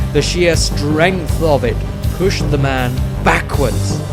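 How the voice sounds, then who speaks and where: A man narrates a fantasy tale in a dramatic audiobook style. His voice sits on the high side, and music plays behind him. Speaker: author reading own story.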